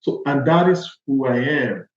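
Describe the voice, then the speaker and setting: A man's voice: mid-range voice, lively intonation, a little slow. A man with a Nigerian accent answering interview questions conversationally as a guest, emphatic and checking in as he makes each point. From Lagos, Nigeria. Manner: emphatic, punctuates points with "okay" and "all right". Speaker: podcast guest, agile transformation consultant. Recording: echoey room, slightly dull audio.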